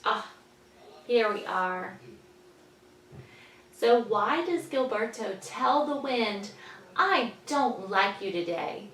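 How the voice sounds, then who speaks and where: A woman teaching young children, patiently explaining in simple words and pausing after questions, as in a story lesson. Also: mid-range voice, expressive, fairly bright tone. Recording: echoey room, distant mic, faint noise.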